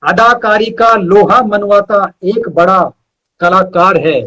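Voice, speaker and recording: Man, poet, verse recital, unhurried delivery, high register, repeated lines, asides to listeners. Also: pushed and bright, low-quality recording. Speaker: poet, interview guest.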